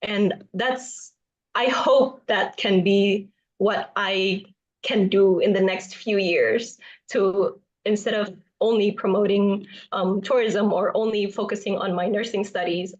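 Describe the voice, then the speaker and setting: A woman's voice: medium pitch, steady tone, unhurried. Young woman answering earnestly on a dull recording. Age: young adult. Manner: earnest, with hesitations. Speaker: speech contestant answering judges. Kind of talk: spontaneous answer to a question. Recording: dull, lo-fi sound.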